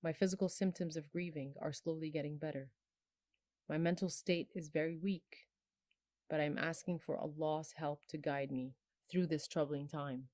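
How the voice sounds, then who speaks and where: A woman in her twenties from Bangladesh, telling her personal story in a soft, earnest, emotional way, her phrases trailing off low. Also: no echo, clean studio sound. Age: 20s. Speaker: storyteller sharing a personal testimony. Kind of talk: personal testimony.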